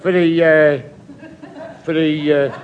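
An actor playing a wily, shifty English chief petty officer with glib, mock-innocent patter in a classic radio comedy. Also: male voice, on the high side, flat delivery, slow, narrow, tinny audio, a faint crowd. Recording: very noisy old recording, loud studio audience.

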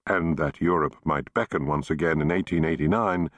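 A man narrating a history audiobook in a measured, expressive storytelling manner, recorded in a studio. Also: rumbling low, no room sound.

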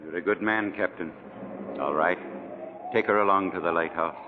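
A man's voice: deep voice, normal speed, lightly acted. An actor playing a sober, kindly village official in a radio drama, formal and concerned in his speech. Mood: approving, kindly. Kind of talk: 1940s radio acting. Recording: dull, noisy vintage radio recording.

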